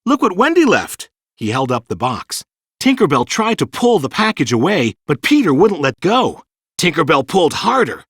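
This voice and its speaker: Animated male storybook narrator. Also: fairly high pitch, moderate pace, husky, no echo, clean studio sound. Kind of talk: scripted children's storybook narration.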